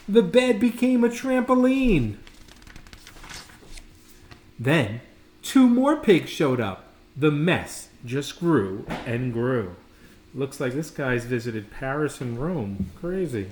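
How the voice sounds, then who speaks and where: A man reading a children's picture book aloud in a friendly, informal way with deliberate phrasing. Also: fairly high pitch, lively intonation. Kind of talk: read-aloud storytelling with casual asides. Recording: echoey room with faint background noise.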